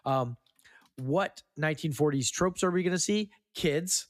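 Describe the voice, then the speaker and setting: A man's voice: a little high, measured pace, no echo, clean studio sound. A man hosting a film podcast, talking in a casual, conversational way with some offhand swearing. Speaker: podcast host.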